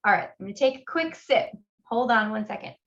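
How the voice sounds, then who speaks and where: A woman's voice: a little high, lively intonation, fairly quick, a bit bright. A woman in her forties from Honduras, giving a friendly, conversational webinar presentation with frequent fillers as an admissions guest speaker. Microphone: video call. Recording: muffled video call audio.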